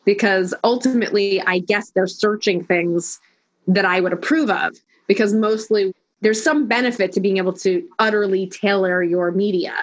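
A woman's voice: medium pitch, normal speed. A woman speaking as a life coach in an interview, firm and emphatic, rattling through lists of points. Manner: emphatic, declarative, speeds up when listing. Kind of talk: conversational interview.

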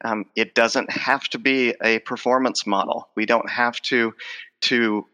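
A man's voice: medium pitch, fairly even intonation, measured pace, sharp tone. A man in his forties, a speech professor who stutters, repeating words and restarting as he answers interview questions. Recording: long hall reverb.

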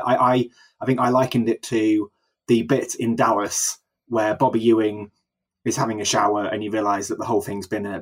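A man's voice: fairly low pitch, husky. A man from the English Midlands, hosting a casual news podcast, speaking hesitantly with many fillers and stammered restarts. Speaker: podcast host.

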